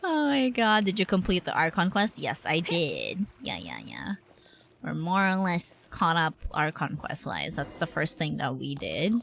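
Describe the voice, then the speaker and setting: A woman's voice: sits low, huge pitch swings, measured pace, creaky, fairly bright tone, a little hiss or hum. A woman livestreamer giving casual, chatty, slangy commentary while she plays a game. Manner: chatty, slangy, lightly swearing. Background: game music and dialogue.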